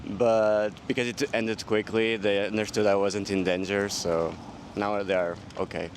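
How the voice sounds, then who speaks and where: A man of twenty-five from Portugal with a Portuguese accent, speaking somewhat haltingly in a casual outdoor interview. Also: fairly low pitch, little pitch movement. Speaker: street interviewee. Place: city street outdoors. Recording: outdoor street with faint traffic noise.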